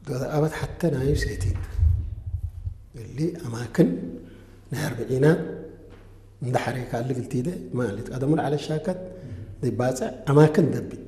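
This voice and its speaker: A man answering interview questions in a conversational way, talking quickly and pausing on drawn-out fillers. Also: mid-range voice, warm tone. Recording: roomy echo with long reverb.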